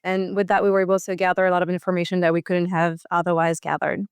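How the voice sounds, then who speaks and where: A woman's voice: on the low side, completely flat delivery, fast, clear, resonant tone, no room sound. A woman answering conversationally as an expert guest in a medical interview.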